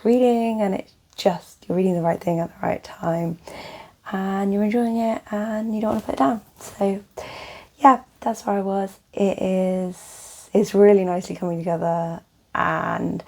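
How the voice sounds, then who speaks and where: A young woman chatting casually to camera as a book vlogger, rambling with lots of fillers, her voice a little croaky and tired. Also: lowish voice, dark tone.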